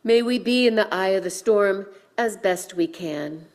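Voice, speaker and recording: A woman minister delivering a sermon with measured, deliberate phrasing and pauses, guiding listeners in a reflective way. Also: mid-range voice, a little mellow. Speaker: minister leading a worship service. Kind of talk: sermon with guided breathing.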